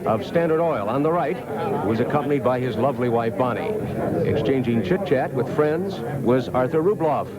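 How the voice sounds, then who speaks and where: A man's voice: medium pitch, animated, moderate pace. An American man narrating a scripted television news report. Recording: loud crowd noise behind voice. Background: busy reception crowd.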